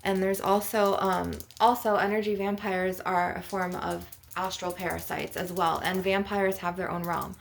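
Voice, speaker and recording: A woman's voice: on the low side, flat delivery, moderate pace, creaky, hardly any pauses, a little hiss or hum. A woman healer talking informally and emphatically to her video audience in a spiritual ASMR session, with casual filler phrases and some swearing. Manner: conversational, emphatic, peppered with swearing and "you know".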